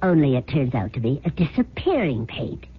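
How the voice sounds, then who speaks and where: A dry sarcastic worldly dame, raspy, 70s radio drama, muffled phone-like audio. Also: female voice, a bass voice, very animated, moderate pace, exaggerated voice. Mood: dry, exasperated. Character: sardonic, blunt, worldly mistress and business confidante. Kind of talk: 1970s radio drama acting.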